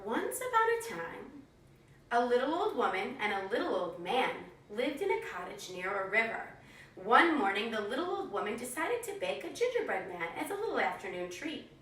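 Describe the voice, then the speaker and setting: A woman telling a story to young children in an animated storytelling style. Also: on the high side, moderate pace. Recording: echoey room, distant microphone.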